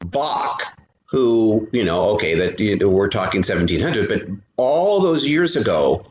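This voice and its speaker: A man speaking as a podcast guest in an animated casual discussion, emphatic and often halting to repeat a phrase. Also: lowish voice. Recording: roomy, dull sound with faint noise.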